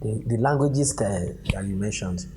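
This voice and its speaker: A man's voice: fairly low pitch, normal speed, noisy background. A man interviewing a guest, asking polite, somewhat hesitant questions.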